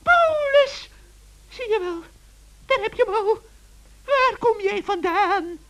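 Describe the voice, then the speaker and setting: A man's voice: very high-pitched, lively intonation, slow pace, a bit bright, voice pushed up, exaggerated voice. A man voicing a whining, scheming old female witch in a children's radio play, theatrical and stammering. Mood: flustered.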